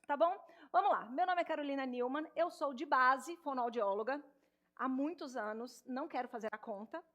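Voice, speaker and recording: A woman in her thirties with a Minas Gerais accent, a speech therapist giving an animated stage talk straight to the audience in an auditorium. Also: high-pitched, moderate pace. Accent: Minas Gerais Portuguese. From Minas Gerais, Brazil. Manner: warm, animated, addresses audience directly.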